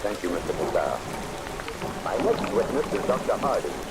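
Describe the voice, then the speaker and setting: An actor playing an authoritative lawyer in an old radio drama, formal and declamatory in delivery. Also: male voice, low voice, sing-song, moderate pace. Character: formal male courtroom lawyer, probing and authoritative. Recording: old radio recording with rain noise.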